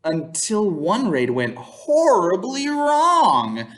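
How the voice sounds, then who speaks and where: A man reads a children's story in a high, very lively voice. His pace is unhurried and theatrical, with drawn-out emphasis. He breaks off for playful, exclaiming asides. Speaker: children's storytime host reading a picture book aloud. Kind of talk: expressive children's read-aloud with playful asides.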